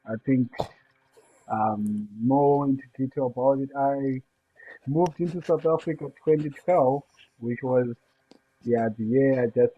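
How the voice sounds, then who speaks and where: A man from Zimbabwe, a guest on a podcast, telling his life story in an unhurried, soft-spoken way. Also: mid-range voice. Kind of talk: casual interview over a call. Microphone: remote call line. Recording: tinny phone-like call line.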